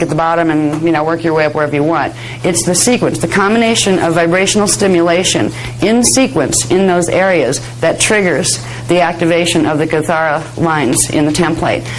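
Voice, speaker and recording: A woman with a hoarse voice giving a spiritual workshop lecture, chatty and digressive, laughing often. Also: fairly low pitch, poor audio. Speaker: spiritual teacher. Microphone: handheld microphone near face.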